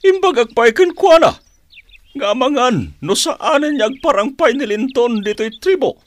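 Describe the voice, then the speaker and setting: Radio drama: excitable old chief, fond father, high swooping voice, theatrical and unhurried. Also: dry recording. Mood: wistful fondness. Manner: theatrical, drawn-out, emphatic.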